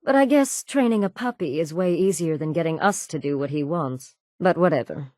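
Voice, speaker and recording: An actress voicing a ruthless, menacing raider gang leader in a video game, cold, commanding and sardonic. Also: female voice, deep voice, expressive, moderate pace, darkish tone, no echo, studio quality. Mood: scornful. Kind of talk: video game character dialogue.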